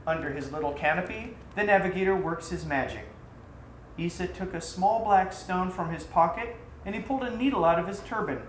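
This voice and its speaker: A man reading his own story aloud as a theatrical storyteller, with a dramatic, deliberate delivery. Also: sits high. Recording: roomy echo, faint background noise.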